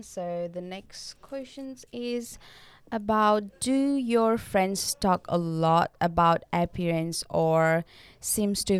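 A woman's voice: fairly low pitch, clear, resonant tone, no room sound. A young woman from Nepal co-hosting a casual podcast, chatty and full of fillers and restarts. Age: young adult. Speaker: podcast co-host. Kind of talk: casual chat.

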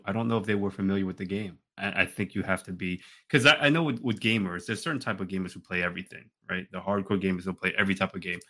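A man with a fairly low but bright, forward voice co-hosts a casual podcast. He talks emphatically, circling back to repeat phrases and checking in as he goes. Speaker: podcast co-host.

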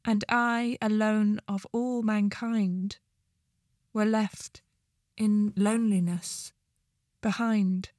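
Woman reading a poem out real slow n measured, very dry recording no room sound. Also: medium pitch, level tone, darkish tone, no echo. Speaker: poetry reader, charity staff. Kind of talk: poetry recitation.

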